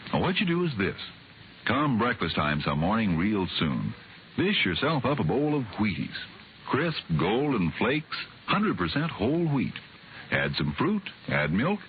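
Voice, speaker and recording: An American man delivering a 1950s radio commercial in a folksy, emphatic, persuasive way. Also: medium pitch. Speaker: commercial announcer. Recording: tinny old radio recording, faint hiss.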